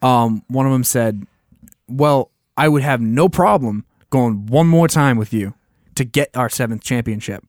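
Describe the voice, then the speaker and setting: A man's voice: mid-range voice, measured pace, dry recording, clean studio sound. A man co-hosting a podcast in casual chat, slightly losing his voice and recapping with many ums and restarts. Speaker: podcast co-host.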